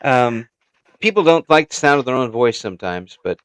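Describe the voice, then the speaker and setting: A man's voice: medium pitch, animated, normal speed, a bit bright, smooth, no room sound. A man hosting a casual interview podcast, chatting in an encouraging way.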